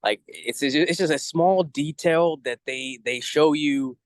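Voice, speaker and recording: A man co-hosting a casual fan review chat, explaining things in a halting, rambling way. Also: a little high, dry recording, clean studio sound. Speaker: co-host of a review show.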